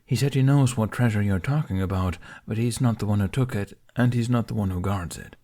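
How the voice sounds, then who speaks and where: A man's voice: fairly low pitch, fairly quick, dark tone, lightly acted. A man playing a grumpy, obstinate dragon in an audiobook, curt and scornful in manner. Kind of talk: audiobook character voice.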